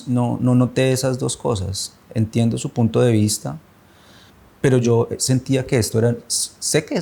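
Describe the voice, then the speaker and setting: Colombian man with a low voice. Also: gravelly, a little mellow. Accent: Colombian, Bogotá. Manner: measured, searching for words with fillers and restarts. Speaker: personal testimony speaker. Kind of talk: reflective personal testimony.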